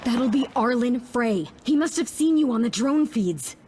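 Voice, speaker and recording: A woman's voice: on the high side, lively intonation, a little slow, noisy background. An actress playing a tough, suspicious, blunt rural woman in a dystopian western audio drama. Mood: wary, warning.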